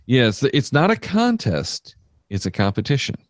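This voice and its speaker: A man's voice: medium pitch, wildly swooping pitch, measured pace, no room sound, clean studio sound. A man hosting a podcast in casual chat, talking loosely with many fillers and restarts. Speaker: podcast host.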